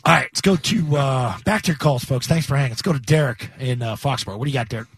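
A man hosting a sports talk radio show, telling lively stories and mimicking the people in them. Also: medium pitch, fast talker, slightly rough, no echo, studio quality. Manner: storytelling, animated impressions of others. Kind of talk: casual sports radio banter. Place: radio studio at a stadium.

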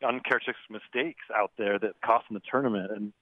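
A man calling in as a golf writer guest. He talks in a chatty, halting way, restarts words often and chuckles now and then. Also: on the low side, fairly even intonation, no room sound. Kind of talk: phone interview. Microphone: phone. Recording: tinny dry phone line.

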